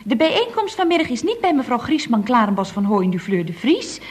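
Female secretary, radio comedy: animated intonation, breathy husk, dry questioning manner. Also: fairly high pitch, a little fast. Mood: officious, singsong. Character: chatty, dryly questioning female office secretary. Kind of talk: 1970s Dutch radio comedy acting.

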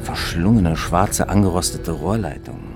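A man's voice: low-pitched, moderate pace, gravelly, music in the background. An actor playing a sober, observant investigator who narrates his own case in a German radio drama. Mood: measured, atmospheric. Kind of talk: German radio drama acting, first-person narration and dialogue.

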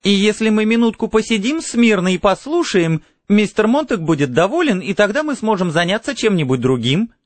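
A silly, affected housewife from an audiobook, put on by a man, chattering in a high voice. She coaxes with brittle cheer and lively swoops, over soft music. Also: brisk, hardly any pauses, dry recording. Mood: coaxing.

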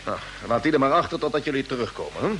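Brisk, lively space captain, old radio drama. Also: male voice, mid-range voice, slight background noise. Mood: firm, reassuring. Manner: authoritative, reassuring, ends phrases with questioning tags. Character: brisk, decisive male space expedition captain, fatherly toward crew. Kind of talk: mid-century radio drama acting.

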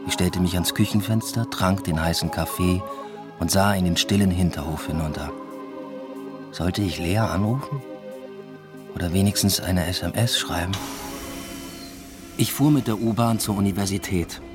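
A man playing an introspective, uneasy academic who narrates his own story in a measured, subdued radio drama style. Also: sits low, music in the background, natural voice. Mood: brooding, reflective. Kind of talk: literary first-person radio drama narration.

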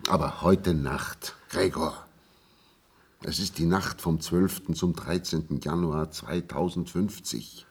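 Ein Schauspieler spielt im Hörspiel einen müden, resignierten Mann, der heiser, zögernd und eindringlich auf einen Gefährten einredet. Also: male voice, a bass voice, takes it slowly, slightly rough, heavily acted. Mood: gentle urging. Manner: hesitant, pleading, intimate. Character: world-weary, resigned man patiently coaxing his silent companion. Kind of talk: German radio play acting.

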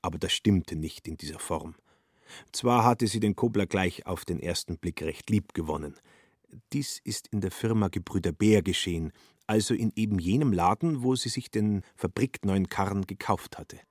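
Male narrator, husky and lowish, ironic literary radio storytelling, close dry studio sound. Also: moderate pace, no echo.

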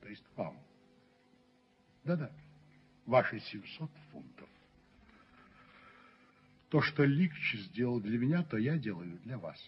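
Pompous middle-aged landlord, muffled recording. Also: male voice, mid-range voice, big pitch swings. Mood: indignant, pointed. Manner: measured, rhetorical, pompous. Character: dignified middle-aged male slum landlord, self-righteous, coolly persuasive. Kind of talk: 1970s Soviet radio play acting. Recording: muffled old radio recording with noise.

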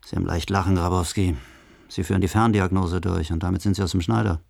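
An actor playing a worried, authoritative professor in charge of a space mission, grave and sarcastic, in a radio drama. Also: male voice, sits low, steady tone, quick pace, creaky, a little mellow, no room sound. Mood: uneasy, reproachful.

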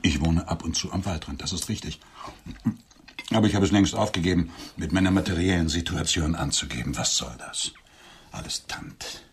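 A man's voice: sits low, moderate pace, husky, exaggerated voice. An actor playing a lonely, grandiloquent middle-aged businessman wooing on a blind date in a radio drama, with florid, theatrical phrasing. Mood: melancholy, self-pitying.

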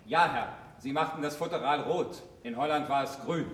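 An actor playing a cool, drily mocking young suitor in a German radio play, polite on the surface. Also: male voice, on the high side, moderate pace, lightly acted. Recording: roomy, faintly noisy radio play recording.

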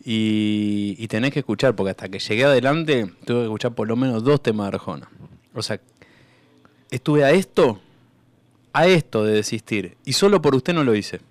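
A man's voice: medium pitch, moderate pace, no room sound, natural voice. A man playing an eager, rambling martyred man in a comedic radio sketch, hesitant and stammering as he claims his reward. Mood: earnest, rambling.

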